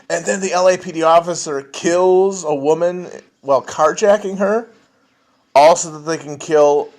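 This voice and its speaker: A man rambling and swearing as he chats alone to a livestream, full of restarts and trailing asides. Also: medium pitch. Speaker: podcast host answering chat. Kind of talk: casual livestream chat. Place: home, alone at a phone or computer.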